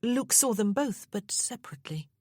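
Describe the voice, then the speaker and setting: A woman's voice: a little high, lively intonation, moderate pace, husky, no room sound, clean studio sound, pitched above the performer's own voice. A woman voicing a bashful, sweet young wife. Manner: soft, bashful.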